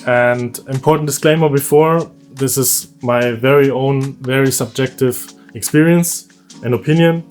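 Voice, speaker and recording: A man in his thirties with a German accent, telling a candid personal story for his vlog in a relaxed, conversational way, often laughing as he goes. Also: medium pitch, unhurried, faint music behind. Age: 30s. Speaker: vlogger.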